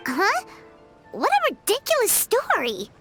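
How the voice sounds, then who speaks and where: Squeaky, high little fairy girl. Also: huge pitch swings, measured pace, over music, exaggerated voice. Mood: indignant. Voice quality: childlike, squeaky. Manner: refers to self in third person, blunt. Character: small, cheeky, suspicious flying fairy companion girl. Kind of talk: video game dialogue.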